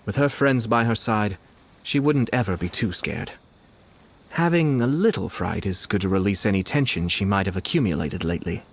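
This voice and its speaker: A man playing a composed, slyly amused schemer in an anime game, calm and dry in delivery. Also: on the low side, moderate pace, a little hiss or hum.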